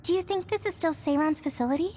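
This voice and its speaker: An actress playing a caring, earnest teenage girl squad member in an anime-style video game, gentle and concerned. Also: very high-pitched, quick pace. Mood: curious.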